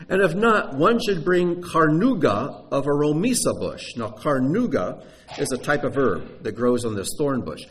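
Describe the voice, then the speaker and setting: A man preaching and teaching, animated and emphatic, often dropping to a hushed voice. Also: medium pitch. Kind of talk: sermon.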